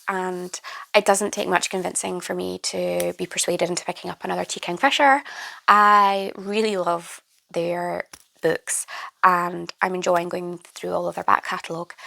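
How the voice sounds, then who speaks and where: A young woman with a croaky voice from a cold, chatting casually about her reading with many fillers and restarts. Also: mid-range voice, a bit bright. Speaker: book vlogger. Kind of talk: casual reading wrap-up chat.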